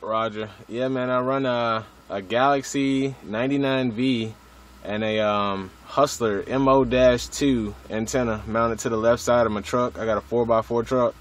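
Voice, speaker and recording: A man's voice: medium pitch, fairly bright tone. An American man chatting casually as a radio hobbyist, in a laid-back, slangy way with some swearing.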